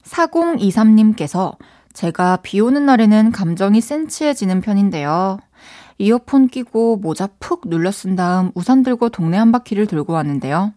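A young woman hosting a radio show, chatting casually and reading out listener stories with quick exclamations. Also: medium pitch, expressive, mellow, no room sound, clean studio sound.

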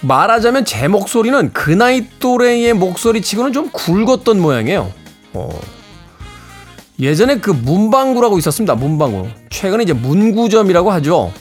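Lively middle-aged male radio DJ over music. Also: sits high, normal speed. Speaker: radio host and DJ. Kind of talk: music radio presenting with casual personal anecdotes.

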